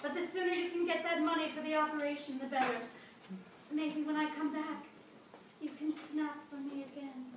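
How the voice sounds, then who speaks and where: Woman playing a high-voiced, melodramatic smitten nurse. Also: steady tone, normal speed, close to an everyday voice. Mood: caring. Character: smitten female home-visiting nurse, breathlessly melodramatic. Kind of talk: comic live radio play acting. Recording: echoey live room, distant mic, loud noise.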